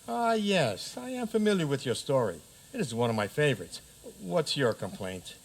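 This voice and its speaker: A man's voice: medium pitch, big pitch swings, moderate pace, vocal fry, low-quality recording. Man playing a pompous, swooping frog king. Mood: judicial, weary. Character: pompous, hurried male frog king presiding as judge. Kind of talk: community radio theater comedy acting.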